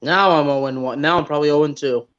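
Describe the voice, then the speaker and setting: Male sports co-host, about thirty, higher voice, casual blunt chat, somewhat lo-fi audio. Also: quick pace, fairly bright tone. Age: late 20s to early 30s. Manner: blunt, repeats himself for emphasis.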